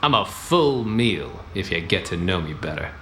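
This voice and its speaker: A man doing a gruff hard-boiled detective. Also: low voice, moderate pace, roomy sound, slight background noise. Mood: commanding. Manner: clipped, gruff, deadpan tough-guy delivery. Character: hard-boiled, wisecracking 1940s male detective. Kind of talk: 1940s-style radio play acting.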